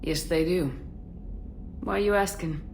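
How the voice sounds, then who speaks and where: An actress playing a steady, frank military commander in a video game, direct and composed with dry teasing. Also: female voice, on the low side, unhurried, vocal fry, slight background noise. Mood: measured. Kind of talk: conversational video game acting.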